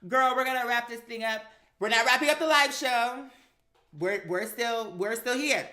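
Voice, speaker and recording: An American live-stream host telling stories casually in an animated, emphatic, slangy way, acting out exchanges. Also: mid-range voice, moderate pace.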